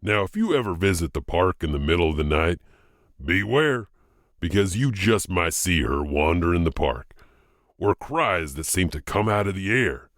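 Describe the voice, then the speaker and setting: A man's voice: sits low, big pitch swings, moderate pace, a bit bright, no echo, studio quality. A man hosting and narrating spooky legends in a theatrical, wry, scripted storytelling manner. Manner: theatrical, wryly punning. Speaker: host and narrator of a horror legend anthology.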